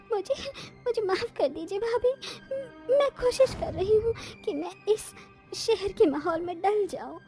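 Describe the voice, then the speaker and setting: A woman's voice: very high-pitched, expressive, a little slow, warm tone, faint music behind. A woman playing a timid, put-upon young village bride who speaks in a pleading, apologetic way. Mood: tearful apology. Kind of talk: acted Hindi moral story dialogue.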